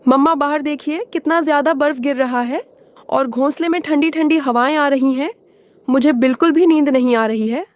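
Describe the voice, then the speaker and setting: Woman acting young cartoon bird, high pitch, childlike, whiny, troubled, complaining. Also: normal speed, lightly acted.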